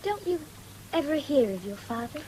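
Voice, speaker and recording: High-voiced young farm girl. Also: very animated, normal speed, a little mellow. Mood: inquisitive, impatient. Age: young adult. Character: keen young farm girl, forthright yet polite. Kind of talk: 1970s British radio drama acting. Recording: noisy old radio broadcast recording.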